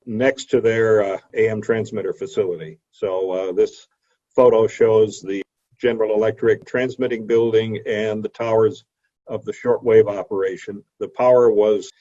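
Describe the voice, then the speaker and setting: A man giving an illustrated history lecture over a video call, explaining in a measured way with frequent hesitant pauses. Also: lowish voice, fairly even intonation, mellow. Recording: dull, lo-fi video call audio.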